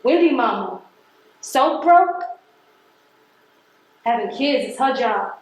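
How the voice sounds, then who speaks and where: A woman's voice: on the high side, expressive, slow. An actress on stage playing a defiant, embittered working-class woman postal carrier from the projects, speaking in an emphatic, confrontational, colloquial way. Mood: hurt, bitter. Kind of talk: stage play acting. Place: theatre stage. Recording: very echoey stage recording.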